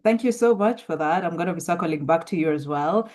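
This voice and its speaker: A woman moderating an online roundtable, calling on speakers in a brisk, guiding way. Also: lowish voice, a little mellow.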